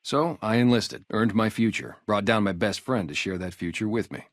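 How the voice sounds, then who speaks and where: A man's voice: on the low side, normal speed, dry recording, clean studio sound, natural voice. A man acting a video game character, a guarded, loyal ex-gang sniper on town security, talking in a casual, streetwise way. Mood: bitter, reflective. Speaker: security guard and former soldier.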